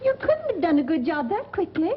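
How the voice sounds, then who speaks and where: A high-pitched, anxious mother scolds and frets emphatically in 1940s film style. The soundtrack is muffled, with some hiss behind her. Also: sing-song, brisk. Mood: sweetly fussy. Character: fretful, anxious, scolding mother of a mischievous boy. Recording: dull, noisy old film soundtrack.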